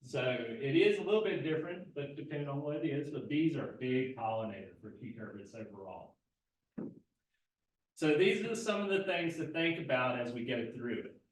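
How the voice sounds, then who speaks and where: A soft-spoken man teaching a live class, explaining patiently with plenty of fillers and self-corrections. His voice sits at a middle pitch and does not carry. The room is very reverberant, and he is picked up from well away from the mic. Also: expressive, moderate pace, a little hiss or hum. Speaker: agricultural extension agent teaching a gardening class. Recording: very echoey room, mic far from speaker.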